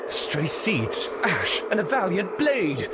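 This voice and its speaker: Vain gentleman hero, high voice, wildly swooping pitch, pompous theatrical flourish, game cutscene. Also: wildly swooping pitch, slow, heavy background noise, full character voice. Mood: exultant. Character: flamboyant, vain, self-styled gentleman hero and inspector. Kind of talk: fan-dub game cutscene acting.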